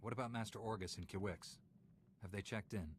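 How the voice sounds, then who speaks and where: An actor playing a determined, protective Jedi knight in a video game, firm and resolute. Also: male voice, fairly low pitch, fairly quick, a little hiss or hum, natural voice. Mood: earnest.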